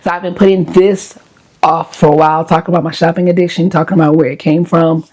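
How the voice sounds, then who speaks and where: A woman with a hoarse voice sits a little low in pitch as she chats easily with her audience. She talks straight to viewers in a relaxed, conversational vlog style. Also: quick pace. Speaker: lifestyle vlogger. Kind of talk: casual chat to viewers.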